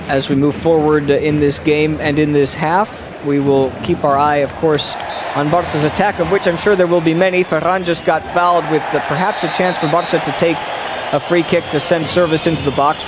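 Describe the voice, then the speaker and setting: A man calls a match live, moving from light jokes to quick play-by-play. His voice sits a little high. Steady traffic and outdoor noise run behind him. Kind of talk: casual play-by-play commentary.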